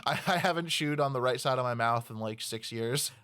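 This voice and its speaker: Casual podcast co-host, a man of middle pitch, rambling and profane. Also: a little fast, pushed and bright, clear, resonant tone. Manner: rambling, swears a lot, laughs often. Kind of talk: casual chat.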